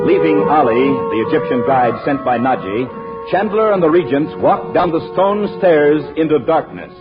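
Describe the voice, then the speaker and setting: A man announcing and narrating a 1940s radio show with polished, emphatic, scripted delivery. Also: on the high side. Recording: muffled old radio, music behind.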